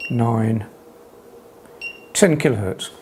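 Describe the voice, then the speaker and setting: A man giving a hobbyist's spoken equipment demonstration, calling out readings in a measured, deliberate, matter-of-fact way. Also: mid-range voice, darkish tone, a little hiss or hum.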